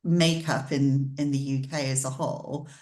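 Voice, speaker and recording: A woman with a low voice, chatting on a panel over a video call. Her talk is loose and conversational. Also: steady tone, normal speed, a little mellow. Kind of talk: panel discussion.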